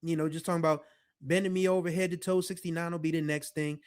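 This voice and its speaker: A man in his forties hosting a podcast, talking in a relaxed, rambling way full of fillers. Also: quick pace. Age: 40s. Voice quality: deeper voice. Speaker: podcast host. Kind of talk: casual nostalgic monologue.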